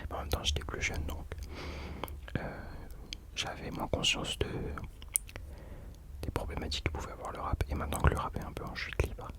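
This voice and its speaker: A man's voice: moderate pace, long pauses. A young man whispering casual ASMR commentary as a host, hesitantly searching for his words.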